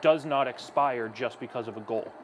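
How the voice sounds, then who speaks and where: A man's voice: medium pitch, normal speed, background noise. A young man who broadcasts hockey, chatting while gaming and then calling the action play-by-play.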